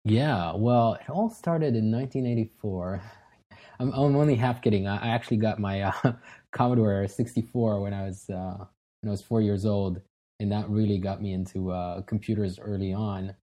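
A man in his thirties from Israel talks as an interview guest, his voice dark and mellow with a soft, breathy edge. He speaks in a relaxed, self-deprecating way and restarts his sentences often. His voice sits a little low for a man. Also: normal speed. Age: 30s. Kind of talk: casual interview talk.

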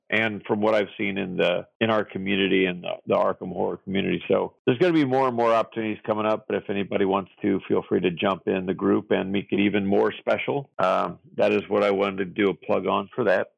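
A man co-hosts a casual podcast with a heavily gravelly, fried voice pitched a little low. He talks in a loose, rambling way with many fillers and restarts. The sound is dry and close on the mic. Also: dry recording, muffled recording. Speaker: podcast co-host running trivia.

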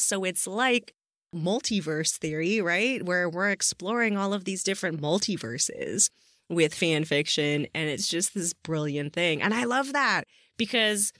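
A woman's voice: mid-range voice, fairly bright tone, dry recording, clean studio sound. A woman hosting a podcast, chatting casually and enthusiastically with a guest as she interviews. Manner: effusive, enthusiastic.

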